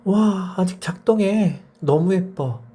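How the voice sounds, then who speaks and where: A man's voice: high-pitched, slow pace, dark tone, breathy edge, voice pushed up, exaggerated voice. A man voicing a sweet, delighted nine-year-old girl in a children's bedtime story. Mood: amazed.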